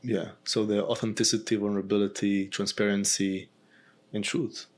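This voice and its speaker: A man hosting a podcast, speaking in a slow, gentle way as he guides the conversation. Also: lowish voice, little pitch movement. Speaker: podcast host.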